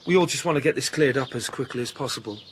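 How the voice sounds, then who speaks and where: Young male PhD researcher, actor, radio drama: medium pitch, relaxed charmer, wry delivery, slight background noise. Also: fast talker, natural voice. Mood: friendly, wry. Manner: wry, casual, smooth-talking. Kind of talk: naturalistic radio drama acting.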